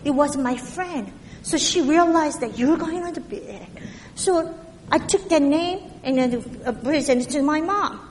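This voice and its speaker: A woman from Korea with a heavy Korean accent, preaching a sermon in a halting way, with many fillers and restarts. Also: high voice, expressive, big-room sound, a little hiss or hum.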